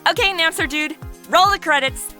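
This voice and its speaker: A woman's voice: very high-pitched, fairly quick, bright, forceful tone, soft background music. A woman hosting a podcast with peppy, informal scripted narration and promos. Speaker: podcast host.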